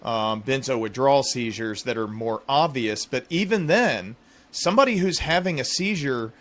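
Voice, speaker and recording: A man's voice: medium pitch, lively intonation, moderate pace, a bit bright. A man hosting a medical interview as a fellow clinician, speaking in a conversational way. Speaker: podcast host and clinician.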